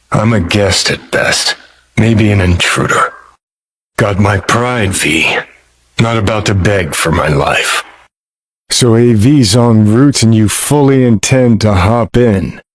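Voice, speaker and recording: A cynical, middle-aged American rocker ghost from a video game. His voice sits fairly low and he takes his time with every line. The delivery is a world-weary, slangy drawl, sardonic and foul-mouthed. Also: slow, a bit bright. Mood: bitter, resigned. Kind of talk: video game character dialogue.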